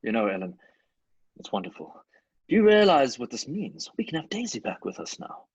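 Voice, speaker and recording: A man playing a landlady's cheerful, eager husband in a radio play, with a rough, husky voice. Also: medium pitch, big pitch swings, a little fast, dry recording, muffled recording. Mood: delighted, excited. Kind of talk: old-time radio play acting.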